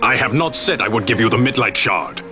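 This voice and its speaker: A man's voice: mid-range voice, expressive, music in the background, lightly acted. An actor playing a grave nobleman who advises rebels in formal, old-fashioned speech. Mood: wary. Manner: formal, archaic, measured speech. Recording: game cutscene with loud orchestral score.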